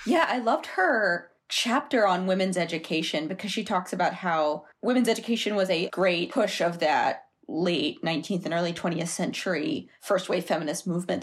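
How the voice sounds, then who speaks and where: A woman columnist appearing as a podcast guest, giving considered, articulate, analytical commentary in a conversational way. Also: on the low side.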